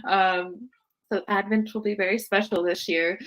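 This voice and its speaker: A woman's voice: medium pitch, fairly even intonation, moderate pace, fairly bright tone, low-quality recording. An American woman in her thirties, chatting casually as a guest in an interview. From United States, Seattle area. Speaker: podcast guest, birth worker.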